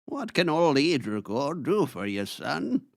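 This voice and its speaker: A man playing a grave, theatrical old wizard in a fantasy drama, speaking in deliberate pronouncements. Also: fairly high pitch, sing-song, creaky, no echo, clean studio sound, heavily acted. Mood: gentle, solemn. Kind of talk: fantasy audio drama acting.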